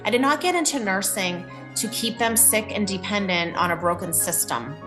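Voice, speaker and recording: A woman from New England, a nurse and podcast guest, telling her life story in an earnest, open way with a loud voice. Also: medium pitch, lively intonation, normal speed, over music.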